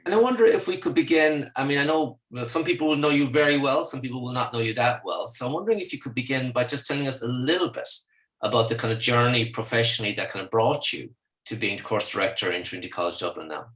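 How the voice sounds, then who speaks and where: A man's voice: mid-range voice, fast talker, a bit bright. A man hosting an interview podcast, encouraging and courteous, asking long questions with some hesitant fillers.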